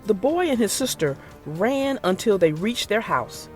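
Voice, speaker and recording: A narrator reading a children's story aloud in an expressive, theatrical and deliberate way. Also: mid-range voice, soft background music. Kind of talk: expressive scripted read-aloud for young children.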